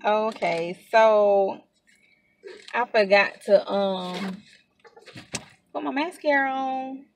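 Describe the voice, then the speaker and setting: A woman's voice: mid-range voice, very slow, pauses often, slight background noise. Chatty woman in her thirties. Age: 30s. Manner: chatty, rambling, with many ums and asides. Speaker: vlogger. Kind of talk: casual chat to camera. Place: parked car.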